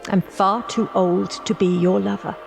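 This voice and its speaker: A woman's voice: medium pitch, fairly even intonation, moderate pace, gravelly, soft background music. An actress playing a passionate, romantic woman in love with a younger man, yearning and poetic, in an audio drama. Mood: tender.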